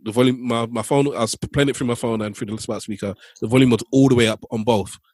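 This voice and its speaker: A man's voice: mid-range voice, steady tone, fast talker, darkish tone, no echo, clean studio sound. A man co-hosting a podcast in casual chat, loose and joking, often repeating himself. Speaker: podcast co-host.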